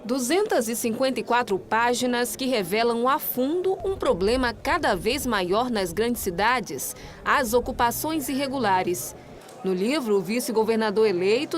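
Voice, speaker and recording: A woman reading a scripted news report as a voice-over, measured and even. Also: fairly high pitch.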